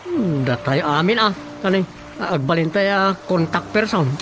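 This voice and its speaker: A man's voice: sits high, lively intonation, normal speed, background noise. An actor playing a practical, inquisitive village councilman in a conversational comedic radio drama, with an Ilocano accent and music behind him. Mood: practical, concerned.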